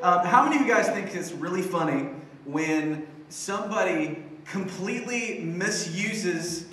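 A man's voice: high voice, lively intonation, unhurried, pushed and bright, distant mic, a little hiss or hum. A young man speaking as a youth pastor on a church stage into a handheld mic, upbeat and chatty, with many fillers and restarts. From Philippines. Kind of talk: sermon. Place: church stage with band gear. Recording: echoey room, voice from afar.

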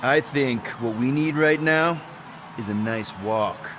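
A man's voice: mid-range voice, takes it slowly, background noise, natural voice. A man playing an easygoing, roguish team leader in a game, casual and wry. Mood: wry, pleased.

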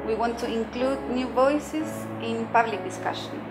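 Clear music plays under a high-pitched woman's voice. She is in her thirties, speaks with a Chilean Spanish accent and explains things to camera as in an interview. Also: unhurried, poor audio. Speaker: journalist and podcast creator. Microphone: lapel mic.

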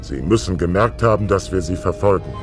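An actor playing a calm, grave alien Jedi general with a measured, courteous manner. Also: male voice, low-pitched, music in the background.

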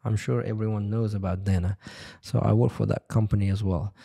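A low, gravelly man's voice, dark and mellow in tone. He speaks in a halting way with fillers and restarts, a guest on a close boom mic in a small podcast studio. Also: fairly even intonation. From Uzbekistan. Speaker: guest, testing center head. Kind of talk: interview.

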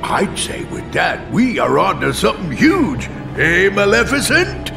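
A man voicing a loutish, scheming cartoon henchman with a gravelly voice, in a game cutscene. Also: high voice, huge pitch swings, measured pace, music in the background, heavily acted. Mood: scheming glee. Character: loutish scheming cartoon henchman, male, eager sidekick villain. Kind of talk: animated game cutscene acting.

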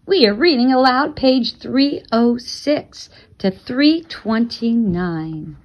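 A woman reading a novel aloud as expressive narration, like a teacher reading to a class. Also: on the high side, slow, highs cut off.

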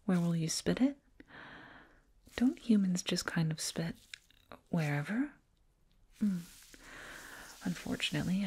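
A woman voicing a soothing, condescending alien dentist in a breathy whispered ASMR roleplay, close to a binaural microphone. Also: on the low side, a little mellow, exaggerated voice. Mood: pleased, soothing. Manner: slow, soothing, murmured repetitions. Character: condescending, soothing alien dentist, fussing over a little human.

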